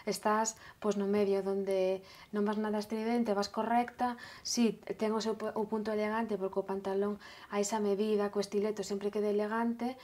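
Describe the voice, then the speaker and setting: A woman with a Galician accent commenting on fashion in a casual, measured way, with some hesitations. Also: mid-range voice, flat delivery, darkish tone. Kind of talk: casual chat.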